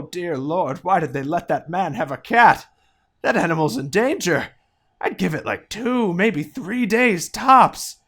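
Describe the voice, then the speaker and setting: A man's voice: high-pitched, fairly bright tone, exaggerated voice. A man playing a wry, melodramatic narrator who comments on the hero of a comedic audio play. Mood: alarmed. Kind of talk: dramatic comic narration.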